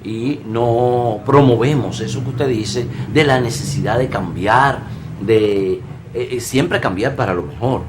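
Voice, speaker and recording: A man's voice: medium pitch, a little slow. A man hosts a TV talk show, speaking effusively in a mid-range voice. He strings his questions together with frequent "eh" fillers, and faint noise sits behind him. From Dominican Republic. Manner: effusive, filler-heavy, fond of "eh". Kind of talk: studio TV interview. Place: local TV studio.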